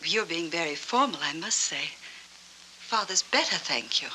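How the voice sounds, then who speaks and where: An actress playing a lonely, wistful woman with a hazy memory in a 1940s film noir, speaking in halting, dreamy, hushed phrases. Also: on the low side, lively intonation, a little hiss or hum, poor audio. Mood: guarded, polite. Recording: old 1940s film soundtrack, music behind.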